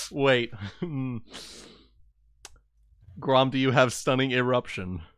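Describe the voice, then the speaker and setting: Slow-talking man in a dry close recording. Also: medium pitch, a bit bright, clear, resonant tone, no echo. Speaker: tabletop game player. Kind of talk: casual tabletop role-play chat.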